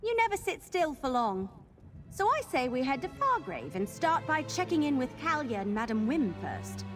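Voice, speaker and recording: A woman's voice: high-pitched, very animated, normal speed, soft background music. A woman playing a friendly young adventurer in a video game, plain-spoken and casual with a wry streak. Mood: friendly, upbeat. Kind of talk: video game character acting.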